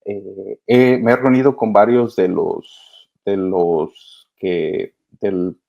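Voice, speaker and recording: A man's voice: on the low side, fairly even intonation, extremely slow, creaky. A man answering interview questions casually and earnestly, often hesitating as he searches for words. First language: Spanish. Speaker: interview guest, migrant children's advocate. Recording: slightly dull, lo-fi sound.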